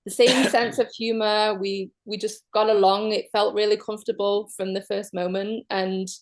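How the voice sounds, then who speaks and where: A woman from Manchester with a Mancunian accent, a guest openly sharing her love story in a casual chat, pausing often on soft "um"s. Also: mid-range voice, little pitch movement. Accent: Mancunian English. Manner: open, measured, many soft "um"s.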